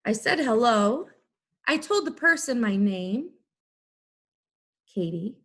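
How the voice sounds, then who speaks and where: A woman teacher giving an instructional lesson, explaining things in clear, simple, step-by-step phrases. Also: fairly high pitch, animated, slow. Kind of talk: instructional video lesson for students.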